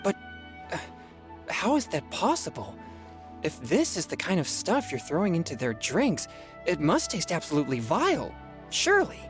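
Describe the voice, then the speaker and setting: A woman's voice: lowish voice, huge pitch swings, normal speed, over music. A woman voicing a polite, earnest young boy exorcist with a hesitant, easily flustered manner in a video game. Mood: uneasy, doubtful.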